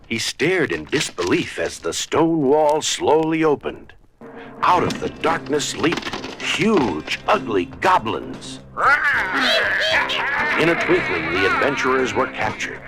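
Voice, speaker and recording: A man narrating a scripted children's story in a dramatic, deliberate storybook style. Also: mid-range voice.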